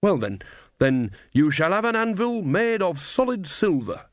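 Man putting on a bossy, high-pitched old witch. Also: very animated, measured pace, dry recording, clean studio sound. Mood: chatty. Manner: rustic dialect, scolding and chatty. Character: bossy, cheerful old village witch mother, Nanny Ogg.

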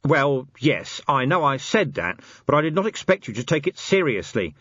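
A man voicing a weary, gruff police commander in an audiobook, terse, dry-humoured and commanding. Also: mid-range voice, very animated, normal speed, fairly bright tone, dull audio, pitched above the performer's own voice. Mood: resigned.

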